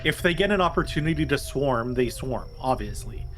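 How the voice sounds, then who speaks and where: A man's voice: medium pitch, lively intonation, fairly quick, fairly bright tone, slight background noise. A man talking casually through tactics and rules as a player in a tabletop roleplaying game. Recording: music playing faintly behind. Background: background music.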